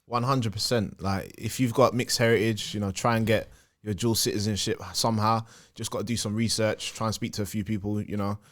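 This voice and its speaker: A man's voice: lowish voice, level tone, fairly quick. A young man, a footballer guest chatting casually with lots of "you know what I mean". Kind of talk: casual podcast chat.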